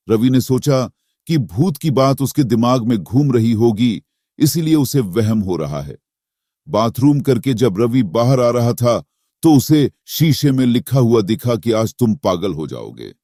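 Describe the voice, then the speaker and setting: A man's voice: medium pitch, warm tone, no echo, studio quality. A man narrating a cartoon horror story in a dramatic, suspenseful scripted style.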